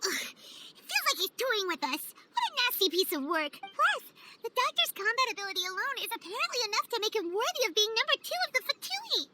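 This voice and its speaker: A woman voicing a tiny, excitable fairy companion in a game, squeaky and childlike, chatty and blunt. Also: fast talker, very noisy. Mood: indignant, relieved. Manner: exclamatory, bubbly, speaks bluntly. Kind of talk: anime-style game dialogue. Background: background music.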